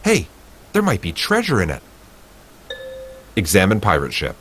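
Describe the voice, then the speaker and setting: A man's voice: low-pitched, wildly swooping pitch, moderate pace, fairly bright tone, faint music behind. A man reading a text adventure game aloud with playful asides.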